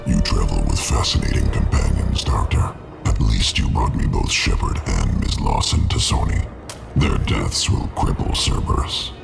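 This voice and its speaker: A man's voice: extremely low pitch, lively intonation, normal speed, gravelly, exaggerated voice. A man playing a huge, cold, arrogant alien crime lord in a video game, speaking in a formal, contemptuous growl with a processed monster sound. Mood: menacing. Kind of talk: video game villain acting. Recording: loud music behind the voice.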